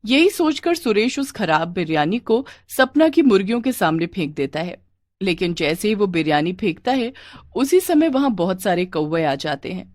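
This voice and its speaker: A woman's voice: mid-range voice, very animated, moderate pace, darkish tone, no room sound, studio quality. A woman narrating a scripted moral tale as a storyteller.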